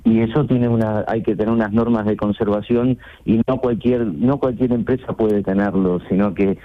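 A middle-aged man from Argentina, talking as a radio call-in guest in a halting way, often repeating himself. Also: lowish voice, steady tone. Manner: halting, repeats words and phrases. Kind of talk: conversational phone interview. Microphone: phone. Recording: tinny phone line into radio show.

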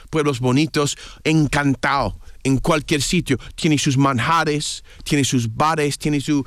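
A native English-speaking man talking as a radio guest, pitched a little high for a man. He stops often to hunt for words and restarts, yet stays keen and lively. The sound is dry and close. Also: no echo. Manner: hesitant, searching for words, enthusiastic. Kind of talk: casual radio conversation.

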